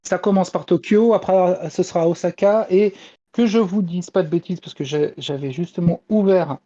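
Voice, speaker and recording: Dull-sounding, mellow man chatting. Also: high voice, moderate pace. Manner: hesitant, searching for words. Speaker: anime talk show panelist reporting news. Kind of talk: casual chat.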